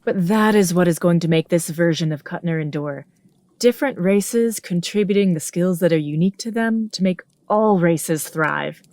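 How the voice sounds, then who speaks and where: A woman's voice: lowish voice, normal speed, a little mellow, no echo, close to an everyday voice. A woman playing an earnest, unpretentious queen in a fantasy audio drama, plain-spoken and firm. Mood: earnest, curious. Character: earnest, unpretentious warrior queen, impatient with ceremony.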